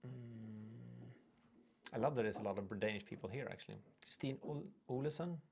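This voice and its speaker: A man's voice: medium pitch, moderate pace, gravelly, darkish tone, slight background noise. A young Swedish man, speaking English as a second language, hosting a casual livestream. He rambles, reads viewer comments aloud and trips over his words. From Sweden. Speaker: livestream host. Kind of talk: casual live chat reading viewer comments.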